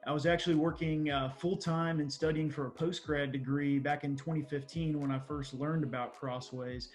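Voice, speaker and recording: A man's voice: fairly high pitch, fairly even intonation, normal speed, faint music behind. A young man with a Texan accent gives a semi-formal presentation in an earnest, explanatory way. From small town Texas, USA. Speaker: development manager presenting on a panel.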